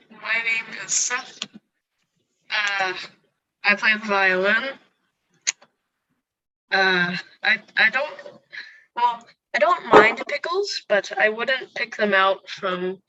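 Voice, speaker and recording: A man's voice: high-pitched, slow, pauses often, pushed and bright, highs cut off. A man giving a hesitant, rambling self-introduction on a video call. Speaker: participant.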